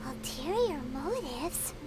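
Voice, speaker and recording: A woman's voice: very animated, takes it slowly, heavily acted, poor audio. A woman voicing a tiny, childlike fairy companion in a fantasy game, perky, blunt and cute. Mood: curious, puzzled. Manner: squeaky, cute, third-person self-reference. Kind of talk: scripted fantasy game dialogue. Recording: loud background music.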